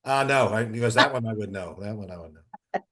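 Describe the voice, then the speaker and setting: A man chatting casually in an online meeting, talking in a halting way with repeated words. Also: fairly low pitch, pushed and bright. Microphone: teleconference call.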